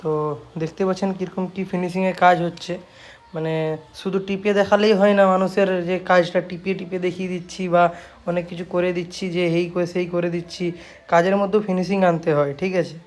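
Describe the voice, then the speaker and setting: A man's voice: high-pitched, flat delivery, fast. A man with a West Bengal Bengali accent, a repair shop owner talking casually to viewers, repeating himself and often adding confirming tags. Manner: repetitive, habitual confirming tags. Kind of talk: casual shop video, showing work to viewers.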